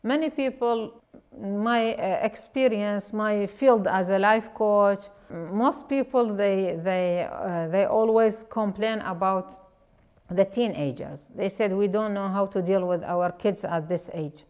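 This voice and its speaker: Iraqi-accented woman, educator and life coach, earnest personal talk, halting phrasing. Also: medium pitch, darkish tone. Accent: Iraqi Arabic. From Iraq. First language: Arabic. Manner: earnest, pausing often with fillers and restarts.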